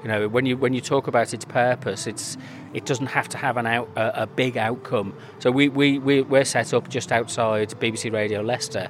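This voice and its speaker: A man's voice: mid-range voice, steady tone, moderate pace, few pauses, a little hiss or hum. Man, chatty with many fillers. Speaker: community media organiser. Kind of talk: casual interview answers. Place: outdoor square at a community event.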